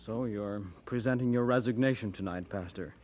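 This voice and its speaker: A man's voice: lowish voice, normal speed, a little mellow, natural voice. Man acting a solemn, kindly churchman. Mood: resigned. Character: solemn, resigned churchman, kindly toward his pastor. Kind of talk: old-time radio drama acting.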